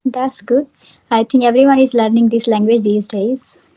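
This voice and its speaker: High-pitched Indian woman teaching English. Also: steady tone, normal speed, dark tone, slight background noise, low-quality recording. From Delhi, India. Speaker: English teacher, channel host. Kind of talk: conversational English lesson.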